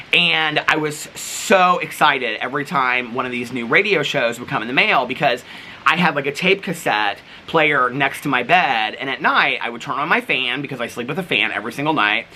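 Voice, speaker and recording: Male vlogger, sharp bright tone, chatty and gushing, loose rambling delivery, slight background noise. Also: mid-range voice, lively intonation, fairly quick. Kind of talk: casual, chatty vlog talk to viewers.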